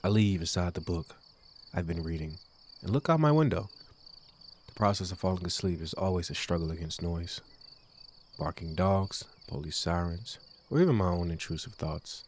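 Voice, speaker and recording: A man narrating a horror game playthrough in a hushed, slow, deliberate reading style. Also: low-pitched, slight background noise. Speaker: game streamer, channel host.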